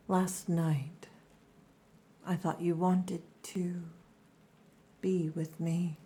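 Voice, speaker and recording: Casting: actress for a female orc girlfriend, ASMR roleplay. Low, breathy whisper, softly commanding, possessive yet tender. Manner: slow, hushed, softly commanding.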